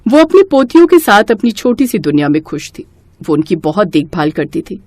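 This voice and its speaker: A woman's voice: mid-range voice, big pitch swings, moderate pace, a little mellow, no room sound. A woman reading scripted storybook narration as the narrator.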